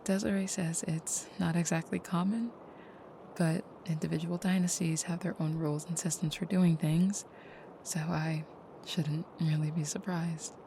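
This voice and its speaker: Actress as tender vampire girlfriend for comfort roleplay audio: lowish, creaky, hushed and hesitant. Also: a little hiss or hum. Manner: soft, hushed, hesitant, often dropping to a near whisper. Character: gentle, caring vampire girlfriend, soft-spoken and hesitant.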